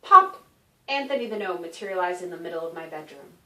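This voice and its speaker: A woman author reading a children's story aloud in an expressive, animated narration with dramatic emphasis. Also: fairly low pitch, brisk. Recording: roomy echo, distant microphone.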